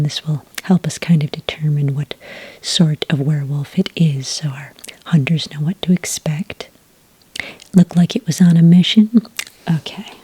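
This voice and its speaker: A woman speaking in a whispery, breathy soft voice, calm and methodical, as an agent in an ASMR roleplay, close to the microphone. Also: deep voice, dry recording. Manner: calm, methodical questioning with gentle pauses. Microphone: close microphone in a dry room.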